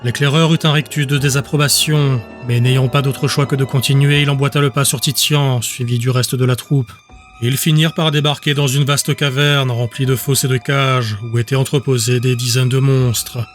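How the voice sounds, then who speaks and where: A man narrating scripted fiction as an audiobook, with a measured, descriptive storytelling manner. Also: mid-range voice, little pitch movement. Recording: music under the voice.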